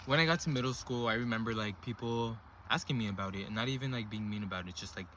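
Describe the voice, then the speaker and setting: A young man in his twenties talks straight to camera in a loose, rambling way, full of fillers and restarts. His voice sits on the low side, with a faint hiss of background noise underneath. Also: fast. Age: 20s. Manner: relaxed, rambling, filler-heavy talk. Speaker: vlogger. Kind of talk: casual personal storytelling to camera.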